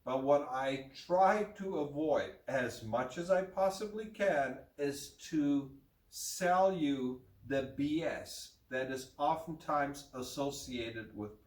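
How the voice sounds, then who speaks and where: A middle-aged rug dealer from Germany with a slight German accent, talking frankly to camera with fillers, recorded by a far camera in an indoor room. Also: male voice, mid-range voice. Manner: frank, plain-spoken, with fillers. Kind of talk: casual talk to camera. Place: room with hanging curtain, indoors. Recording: roomy, distant camera sound.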